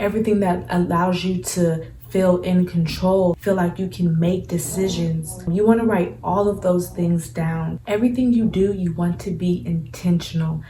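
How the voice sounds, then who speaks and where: A young woman in her twenties talks through spiritual advice in an earnest, chatty way, with plenty of ums and likes. Her voice is low and mellow. It sounds roomy and a little distant from the mic, with faint background noise. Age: 20s. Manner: earnest, conversational, uses many fillers. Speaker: spiritual vlogger. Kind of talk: casual advice vlog.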